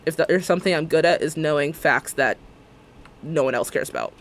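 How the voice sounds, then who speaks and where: Brief: male streamer in his 20s, pitched a touch high, chatty and sarcastic, venting while he plays. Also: brisk. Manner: ranting, animated, sarcastic, mimics others' words. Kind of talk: casual livestream chat while building in a game.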